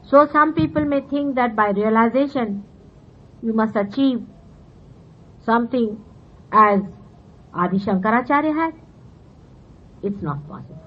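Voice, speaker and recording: An earnest spiritual teacher from India, a woman with an Indian accent whose first language is Marathi. Her voice sits fairly high, and she explains slowly, pausing with fillers as she searches for words. The old 1970s tape sounds dull and carries a faint hiss. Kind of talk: spiritual talk to followers.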